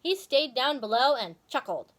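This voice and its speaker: A woman reading a children's story aloud in a dramatic, expressive way. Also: sits high, moderate pace.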